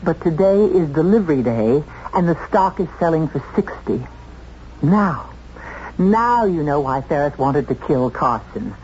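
An actress playing a shrewd, determined woman in a 1970s radio drama, with intense, deliberate delivery. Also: low-pitched, big pitch swings, a little mellow. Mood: agitated, urgent. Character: determined, shrewd woman accused of murder, confronting the killer. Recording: muffled, noisy old radio drama tape.

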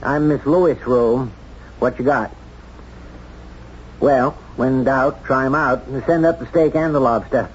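An American man acting a plain-spoken, self-doubting small-town sheriff in a 1970s radio drama, with a folksy, halting, candid delivery. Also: mid-range voice, steady tone, lightly acted. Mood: brisk, steady. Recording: dull, noisy 1970s radio drama recording.

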